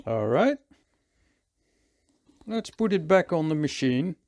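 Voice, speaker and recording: A man with a Dutch accent, calmly thinking aloud as he works through a hands-on repair tutorial. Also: on the high side, takes it slowly, noisy background. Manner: calm, thinking aloud while working. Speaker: repair technician.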